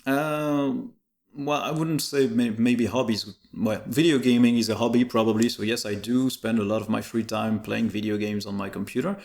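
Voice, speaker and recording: A man's voice: medium pitch, fairly even intonation, moderate pace, creaky, a little mellow. A man whose first language is French, chatting casually and in easygoing detail as an interview guest. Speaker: interview guest, tech YouTuber.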